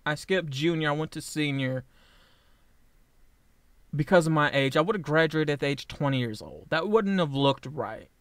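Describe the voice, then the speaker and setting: A man's voice: on the high side, no echo. A man in his late twenties talking through a casual personal vlog, rambling and blunt, swearing freely and often restarting his sentences. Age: 20s. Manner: halting with frequent restarts. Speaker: vlogger.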